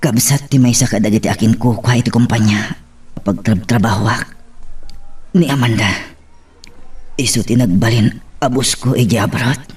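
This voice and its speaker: A man's voice: medium pitch, moderate pace, pauses often, a little mellow, slightly rough, far from the mic, close to an everyday voice. An actor with an Ilocano accent playing a remorseful husband and father in an emotional romantic drama, pleading for forgiveness. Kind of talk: Ilocano romantic radio-style drama acting.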